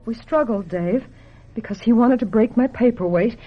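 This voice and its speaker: A woman playing a distraught, guilt-ridden film actress in an old-time radio drama, halting and melodramatic. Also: on the high side, highs cut off, natural voice. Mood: despairing, guilt-ridden.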